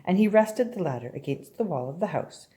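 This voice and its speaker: Animated woman reading a children's story. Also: lowish voice, fairly quick. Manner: animated, addresses young listeners directly with questions. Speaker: storybook reader. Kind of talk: expressive children's story read-aloud.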